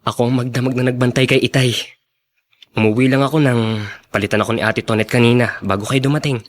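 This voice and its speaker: A man's voice: medium pitch, fairly even intonation, quick pace, natural voice. A man playing a sincere, supportive younger brother in a radio drama, talking in an earnest, plain-spoken way. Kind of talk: Tagalog radio drama acting.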